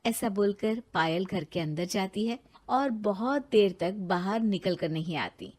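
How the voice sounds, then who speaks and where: A woman's voice: medium pitch, unhurried, a little mellow. A woman reading scripted narration for a moral story as its narrator.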